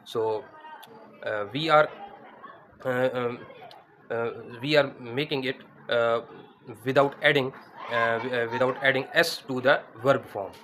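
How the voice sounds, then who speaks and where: Teacher in his thirties, mid-pitched, hesitant lesson delivery, close mic indoors. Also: steady tone, measured pace, pauses often, fairly bright tone, slight background noise, low-quality recording. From Pakistan. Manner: hesitant, many fillers and restarts. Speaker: English teacher. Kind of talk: spoken grammar lesson. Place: indoors against a plain wall.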